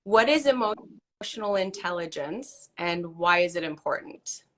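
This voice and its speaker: A woman's voice: on the low side, animated, a little slow, fairly bright tone. A woman hosting a live online interview, welcoming her guest in an upbeat, friendly way. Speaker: host.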